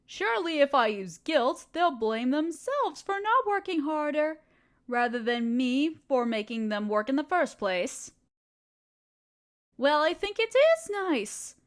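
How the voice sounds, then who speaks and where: Haughty evil queen voiced by a woman, high voice, sardonic and bossy, talks down to her helper. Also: very animated, a little slow. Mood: dismissive, then scheming. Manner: condescending, sardonic, commanding. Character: haughty ruthless female evil queen, scheming. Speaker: voice actor. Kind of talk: audio roleplay acting.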